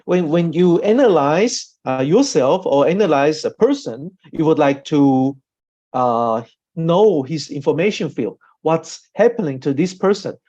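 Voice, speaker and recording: A man from Austria who is not a native English speaker gives an informal online training talk, haltingly, repeating himself and often checking with "okay?". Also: fairly high pitch, a little mellow. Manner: halting, repetitive, often adds a questioning "okay?". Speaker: presenter and trainer, a doctor. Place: online video call. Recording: dull, lo-fi online call audio.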